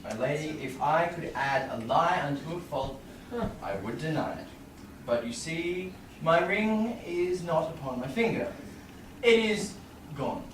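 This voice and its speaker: A man plays a young nobleman begging his wife's pardon in Shakespearean verse. He pleads slowly and earnestly with animated pitch, far from the mic on an echoey stage. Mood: sheepish, guilty. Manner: earnest, imploring. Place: small indoor theatre stage. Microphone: far audience camera. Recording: roomy, noisy distant audience camera.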